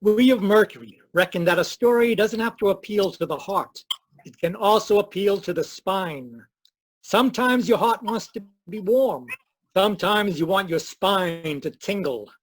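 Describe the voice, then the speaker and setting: A man's voice: high voice, normal speed, dry recording, rough recording. Formal male radio host, dry close sound. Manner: theatrical, formal. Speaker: host and announcer. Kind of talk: scripted old-time radio announcing.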